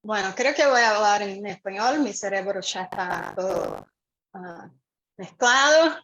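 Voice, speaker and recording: A woman from Brazil with a Brazilian Portuguese accent speaking on a video call, unhurried and searching for words with many pauses. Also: medium pitch, expressive, fairly bright tone, poor audio. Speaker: community member sharing. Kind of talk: online meeting talk. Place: home room with a fan.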